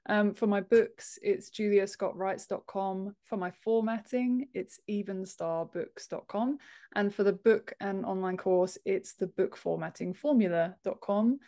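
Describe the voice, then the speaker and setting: Female, 30s, mid-range pitch, informal interviewee on a video-call podcast. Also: measured pace, warm tone. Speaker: podcast guest, author and book formatter. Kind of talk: casual interview chat. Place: home office room, indoors, on a video call.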